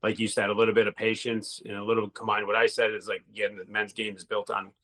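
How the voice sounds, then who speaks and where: Man, middle-aged, low voice, rambling, casual storyteller, former hockey player. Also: level tone, fast, sharp tone. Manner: rambling, laid-back, swears casually.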